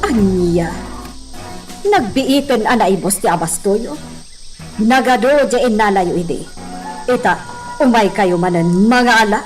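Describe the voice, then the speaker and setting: A woman's voice: medium pitch, unhurried, long pauses, faint music behind, lightly acted. A woman with an Ilocano accent playing a businesslike estate mistress who gives orders in a radio drama.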